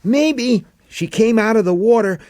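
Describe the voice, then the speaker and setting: Man putting on an excited, high-pitched young boy. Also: measured pace, no room sound, studio quality. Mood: awed, insistent. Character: excited breathless young beach boy, urgent and awed. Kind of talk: dramatic audiobook character voice.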